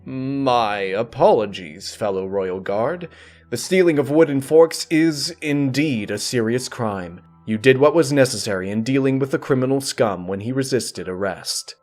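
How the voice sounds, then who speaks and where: A man voicing an excitable but agreeable male pony royal guard in a comic fantasy story. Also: medium pitch, unhurried, faint music behind, close to an everyday voice. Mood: apologetic, agreeing.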